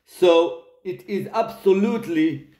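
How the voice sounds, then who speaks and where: A man from Israel with an Israeli accent, speaking English as a second language in a halting self-recorded complaint, with many fillers and restarts. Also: high-pitched, lively intonation, warm tone, echoey room. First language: not English. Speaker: litigant making a video statement. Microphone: phone.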